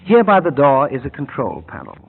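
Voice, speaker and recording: A man's voice: medium pitch, huge pitch swings, fairly quick, gravelly, husky, full character voice. An actor playing a cold, mocking male mad scientist who chuckles as he threatens, in 1940s radio drama style, on a tinny old broadcast. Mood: gloating. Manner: suave, chuckling menace.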